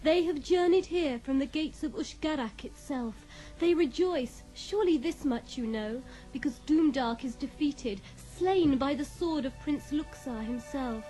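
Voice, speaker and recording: A gentle young fae woman of the forest with a high and very mellow voice. She speaks in courtly, old-world phrases, as in a fantasy audio drama, over faint music. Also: measured pace, poor audio. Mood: solemn, proud. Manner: formal, archaic, poetic speech.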